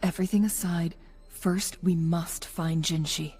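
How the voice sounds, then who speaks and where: Woman as a mellow, composed game investigator. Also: lowish voice, fairly even intonation, soft background music, natural voice. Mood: grave, explanatory. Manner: measured, serious, explanatory. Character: composed, analytical young woman guide and investigator. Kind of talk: scripted video game dialogue.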